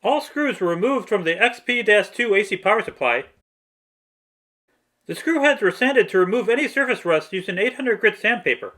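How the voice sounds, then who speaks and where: A man's voice: high-pitched, lively intonation, moderate pace, pushed and bright. A man reading a scripted, step-by-step technical tutorial narration.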